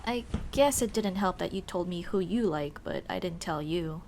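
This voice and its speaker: A woman playing a young tomboy childhood friend in an ASMR roleplay, speaking in casual boyish slang with hesitant pauses. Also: on the low side, animated, a little mellow, slight background noise. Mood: flustered, hesitant. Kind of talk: ASMR roleplay, intimate one-sided dialogue.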